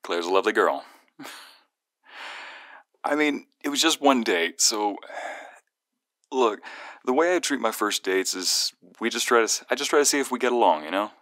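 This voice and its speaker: A man acting as a young guy friend in an ASMR audio roleplay, with a very low voice and a close, dry sound. He talks as if on a call to someone he secretly cares for, teasing yet earnest and protective. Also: no room sound. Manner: teasing banter turning soft and earnest. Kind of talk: ASMR roleplay, one-sided phone call.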